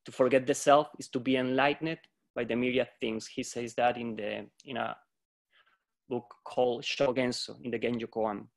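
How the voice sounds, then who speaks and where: A man from Colombia speaks on a video call in a steady, mid-pitched voice. He sounds thoughtful and measured, like a yoga teacher giving a prepared reflection. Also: vocal fry. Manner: reflective, measured, quotes philosophers. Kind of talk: prepared talk on a video panel.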